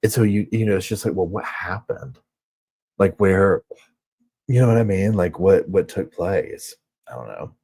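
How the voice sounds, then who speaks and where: A man with a Southern US accent hosts a chatty live stream from a hotel room, reading viewer comments as he goes. His voice sits low and sounds a bit husky. He rambles and fills his talk with loose, easygoing asides. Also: normal speed, warm tone. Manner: rambling, chatty, heavy on filler words. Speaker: true crime channel host.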